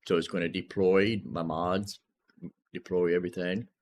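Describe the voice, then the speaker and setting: A man's voice: low-pitched, steady tone, normal speed. A man casually commentating as a gaming streamer, thinking aloud and informally talking through each step. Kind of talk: casual gameplay and modding commentary. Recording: clearly noisy background.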